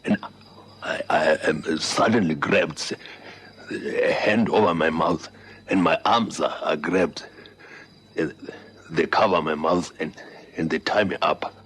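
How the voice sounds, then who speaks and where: An actor playing a lonely, shaken old night watchman, halting and respectful, in a vintage radio drama. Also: extremely low pitch, fairly bright tone, slight background noise. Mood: shaken, deferential. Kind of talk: 1960s-70s radio drama acting.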